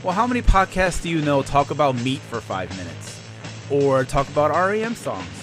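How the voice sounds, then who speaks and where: Guy doing a comedy podcast ad, highish voice, really animated banter. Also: moderate pace, music in the background. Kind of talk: scripted comedic promo banter.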